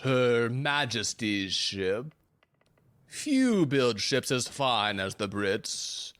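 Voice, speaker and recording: Actor playing a pompous, menacing, slow-talking first mate. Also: medium pitch, a bit bright, heavily acted. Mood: scornful. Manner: pompous, antiquated, intimidating speech. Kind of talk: theatrical audio drama acting.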